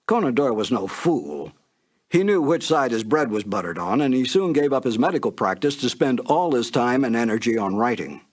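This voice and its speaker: A man reading a scripted introduction as the narrator of a classic radio series. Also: medium pitch.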